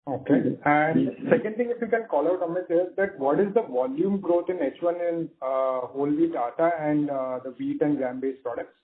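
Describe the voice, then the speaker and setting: A man's voice: a little high, moderate pace, hardly any pauses. A man with an Indian accent asking questions as an analyst calling into an earnings call. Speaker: caller, analyst. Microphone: phone call. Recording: narrow tinny phone line.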